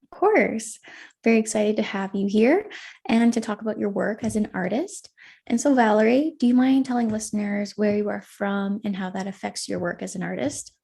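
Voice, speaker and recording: A woman's voice: medium pitch, moderate pace, creaky, dark tone, slightly rough. A woman hosting a college radio show, interviewing a guest with friendly, affirming questions.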